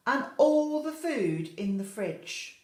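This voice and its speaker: Female infant teacher for storytime, very animated intonation, chatty asides to children, roomy echoing sound. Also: a little high, takes it slowly. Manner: expressive, storytelling, prompts children with questions. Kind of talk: animated picture-book reading for young children with asides.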